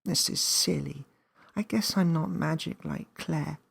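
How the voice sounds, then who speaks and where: A man's voice: on the high side, a little slow, vocal fry, fairly bright tone, slightly rough, voice pushed up, exaggerated voice. A man voicing a curious seven-year-old girl in a children's story, earnest, questioning and easily frustrated.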